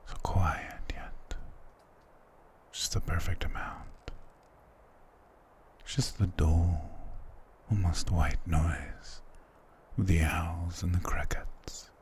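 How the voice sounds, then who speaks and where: A man playing a doting, possessive immortal vampire lord in an intimate ASMR romance roleplay, husky, tender and softly commanding. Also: fairly even intonation, takes it slowly, pauses often. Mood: tender, wistful. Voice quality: breathy, husky low whispering.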